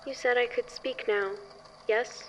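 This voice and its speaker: A woman's voice: fairly high pitch, fairly even intonation, measured pace, recorded from a distance, slight background noise, natural voice, poor audio. A woman playing a guarded, resolute anonymous witness in an audio drama, speaking formally and firmly. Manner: formal, firm.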